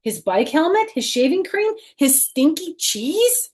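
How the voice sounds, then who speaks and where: A woman voicing an eager, insistent young child in a storybook reading, with excited, drawn-out questions. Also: high-pitched, voice pushed up.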